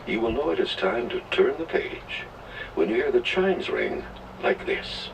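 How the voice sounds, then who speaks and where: A man's voice: mid-range voice, very animated, a little slow, creaky, slight background noise. A man narrating a children's read-along in scripted rhyme with a theatrical storybook delivery, played from an old record. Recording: old children's record played back, distant, music behind.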